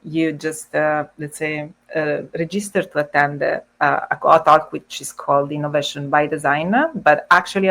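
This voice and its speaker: Low creaky-voiced Italian woman presenting. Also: fairly bright tone. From Italy. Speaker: guest speaker, service designer. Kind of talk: informal online presentation. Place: indoors, on a video call. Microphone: video call microphone.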